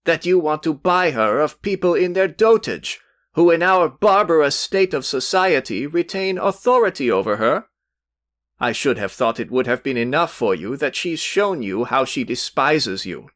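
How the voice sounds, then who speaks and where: A man's voice: medium pitch, expressive, measured pace, fairly bright tone, no echo, clean studio sound. A man reading aloud voices a brash, insolent young man who talks hotly with a raised voice. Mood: heated.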